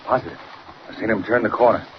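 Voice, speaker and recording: An actor playing a dim, eager thug in 1940s radio style, with rough, slangy talk. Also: male voice, on the low side, fast, close to an everyday voice. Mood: puzzled. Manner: rough, slangy working-class tough talk. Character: dim, eager male henchman and thug watchman. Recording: muffled, noisy 1940 radio recording.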